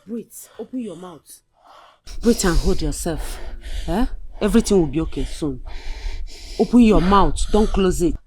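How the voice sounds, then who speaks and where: A woman's voice: lowish voice, expressive, moderate pace, dark tone, slight background noise, natural voice. An actress playing a middle-aged mother, coaxing and scolding with strong emotion. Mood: soothing. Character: caring but stern Nigerian mother, middle-aged.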